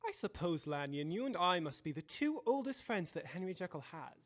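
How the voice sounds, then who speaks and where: Guy acting a worried victorian lawyer, formal n measured, big reverb, voice moves around a lot, audio drama. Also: high voice, very animated. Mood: probing, polite. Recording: echoey, long reverb.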